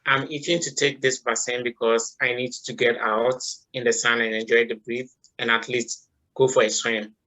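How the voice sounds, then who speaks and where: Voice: mid-range voice, level tone, normal speed, pushed and bright, poor audio. A 29-year-old student introducing themself in an upbeat, casual way. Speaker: student presenter. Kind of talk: self-introduction.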